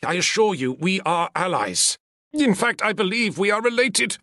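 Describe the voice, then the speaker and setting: A man voicing a frightened, scheming Roman senator who pleads in a high, strained voice in an audiobook. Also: very animated, moderate pace, fairly bright tone, no echo, clean studio sound. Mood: terrified pleading. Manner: pleading, breathless, high-strung. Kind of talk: audiobook character voice.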